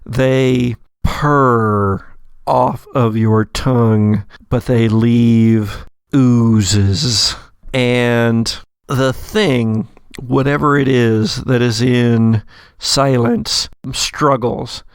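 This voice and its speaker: Slow, smooth-voiced male game master. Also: medium pitch, steady tone, no echo, studio quality. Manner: deliberate, dramatic storytelling. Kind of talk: narrating a tabletop roleplaying game.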